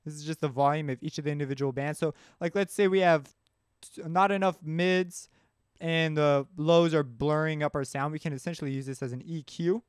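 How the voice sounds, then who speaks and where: Male tutorial host, smooth and slightly high, informal step-by-step explainer, dry studio-close sound. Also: fairly even intonation, a little fast, dry recording. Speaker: music production tutorial host. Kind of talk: casual screen-recorded software tutorial.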